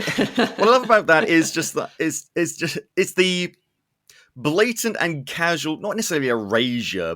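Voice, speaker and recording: A man hosting a podcast in a casual, animated, self-deprecating and joking interview style. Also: a little high. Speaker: podcast host.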